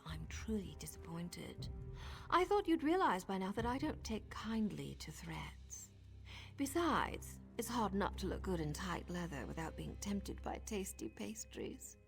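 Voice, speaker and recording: An actress voicing a sly, seductive assassin in a video game, with a cool, teasing and menacing manner. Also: female voice, lowish voice, big pitch swings, measured pace. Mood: cool threat. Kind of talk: video game character dialogue. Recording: music behind the voice.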